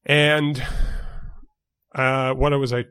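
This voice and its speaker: Podcast co-host, young man from New York, precise and measured with lots of fillers, mid-pitched voice. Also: little pitch movement, a bit bright, smooth. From New York City. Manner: measured, precise wording with many fillers and restarts. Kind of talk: casual chat.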